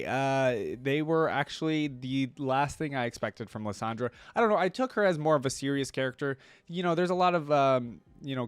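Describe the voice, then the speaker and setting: A man's voice: medium pitch, smooth, no echo. A man giving casual reaction commentary as a video host, informal, slangy and rambling.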